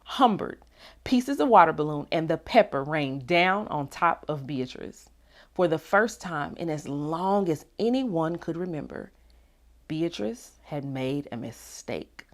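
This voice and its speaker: A woman reading a children's storybook aloud in an expressive, animated way with dramatic pauses. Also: low-pitched. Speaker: read-aloud narrator.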